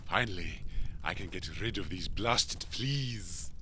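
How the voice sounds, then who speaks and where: A man's voice: low voice, expressive, takes it slowly, pushed and bright, slight background noise, full character voice. A man playing a genteel, weary, grumbling companion in a comedy audio drama, with formal, pompous phrasing.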